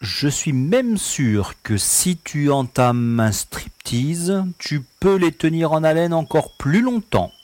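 A man's voice: a little high, animated, slow, hardly any pauses, darkish tone, no echo. A man voicing a seasoned, teasing male pilot with dry, mocking banter in an audiobook reading.

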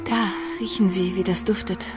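Female actor, young society lady, radio play, medium pitch, probing, sensitive, poised, direct. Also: fairly even intonation, normal speed, over music, close to an everyday voice. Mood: dismayed, pressing. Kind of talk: radio drama acting.

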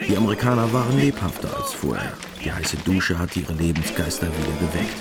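Male narrator for a radio play, deep voice, scripted storytelling with music clearly behind. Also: fairly quick.

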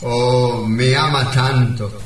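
An actor playing a naive, sentimental husband, delivered melodramatically in a comic radio play. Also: male voice, medium pitch, steady tone, very slow, reverberant, far from the mic, over music. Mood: wistful. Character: naive, sentimental, devoted husband trapped underground.

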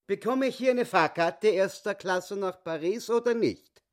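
An actor in a German radio play voicing a boastful, indignant little swindler posing as a grand gentleman, pompous and theatrical. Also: sits high, measured pace, no room sound, clean studio sound. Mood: haughty.

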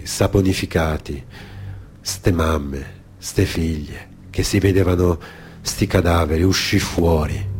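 A man's voice: low voice, a little mellow, a little hiss or hum. A man delivering a dramatic narrative monologue in an emphatic, cadenced way. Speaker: theatre storyteller. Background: music.

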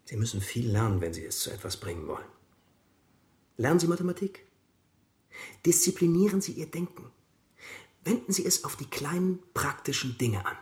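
An actor playing a brisk, condescending army captain who speaks with didactic authority in a radio drama. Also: male voice, a little high, sing-song, darkish tone. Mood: stern lecturing.

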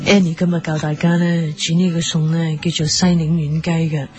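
Someone doing a tv cooking lady, medium pitch, instructs bit by bit, spoof show, soft music behind. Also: flat delivery, brisk, close to an everyday voice. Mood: instructional. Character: female TV cookery presenter. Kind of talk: parody cooking demonstration.

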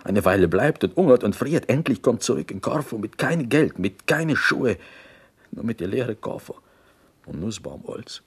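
An actor playing a wistful Greek man in a radio play, telling his story in halting broken German with a Greek accent and a husky, breathy voice. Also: mid-range voice, sing-song. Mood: sorrowful.

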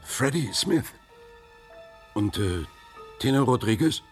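A man's voice: mid-range voice, animated, slow, soft background music. An actor playing a terse, guarded man in a radio crime drama. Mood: polite. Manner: terse, controlled. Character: terse, guarded male actor turned investigator.

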